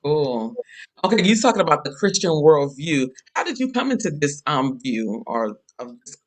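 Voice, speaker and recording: A man hosting a casual interview show, speaking haltingly with many ums and uhs. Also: on the high side, sing-song. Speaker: talk show host.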